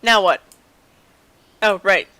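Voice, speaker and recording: A woman's voice: medium pitch, slow pace, bright, forceful tone, a little hiss or hum. A woman streaming a video game with casual, playful commentary, chattering to herself and exclaiming as she plays.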